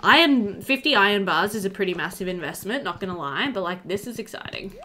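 A woman in her thirties giving casual, chatty let's-play commentary, animated and thinking aloud. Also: mid-range voice, fairly quick. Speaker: gaming video host. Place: indoor room.

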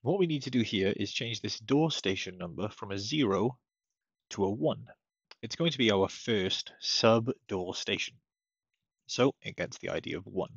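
A man giving a casual step-by-step software tutorial as a technical support presenter, recorded as a voice-over at his computer. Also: lowish voice, animated, moderate pace, gravelly, slightly rough, no room sound. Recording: dull lo-fi close dry microphone.

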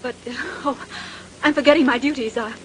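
Eager, high-voiced air stewardess. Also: female voice, steady tone, brisk, a bit bright. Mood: polite, flustered. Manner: polite, flustered, eager. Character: earnest, dutiful young female air stewardess. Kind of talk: 1930s radio drama acting. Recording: noisy vintage 1930s radio recording.

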